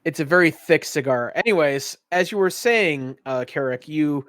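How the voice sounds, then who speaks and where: A man with a slightly high voice runs a tabletop game as game master. He improvises his descriptions in a casual way, and the sound is close and dry. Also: animated, normal speed, fairly bright tone, no room sound.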